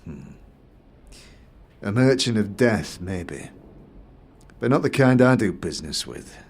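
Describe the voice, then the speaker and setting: Casting: male actor as smug, calculating fantasy-game moneylender; low register, sneering condescension, lines drawn out with relish. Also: big pitch swings, slight background noise, exaggerated voice. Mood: dismissive. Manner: condescending, drawn-out, measured delivery. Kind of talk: fantasy game audio series acting.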